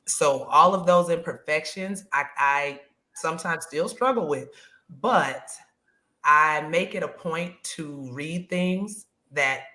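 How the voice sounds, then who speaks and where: Deep-voiced woman, frank and bright. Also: slow. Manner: frank, reflective. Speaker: podcast co-host. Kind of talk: casual chat.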